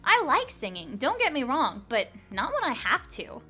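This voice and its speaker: A woman's voice: sits high, wildly swooping pitch, normal speed, pushed and bright, soft background music, exaggerated voice. A woman playing a chatty, nosy and teasing young best friend in an audio drama. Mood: cheerful, chatty.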